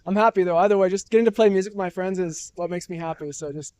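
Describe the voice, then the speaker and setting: A man's voice: high voice, fast, darkish tone, clear, resonant tone, dry recording. A young man from New Hampshire, a musician being interviewed at a festival, talking in a thoughtful, rambling way with many restarts. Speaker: musician guest. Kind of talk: casual interview.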